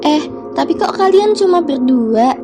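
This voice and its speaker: A woman's voice: expressive, unhurried. A woman voicing a cheerful, trusting little girl in a cartoon, with music playing behind. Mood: playful. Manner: high childlike voice. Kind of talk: cartoon voice acting.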